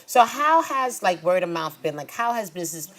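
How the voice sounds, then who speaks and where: A woman's voice: lowish voice, very animated, normal speed. A woman hosting a talk show in a conversational interview style, chatty and encouraging with her guest. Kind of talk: conversational small business interview. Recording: small studio audience present.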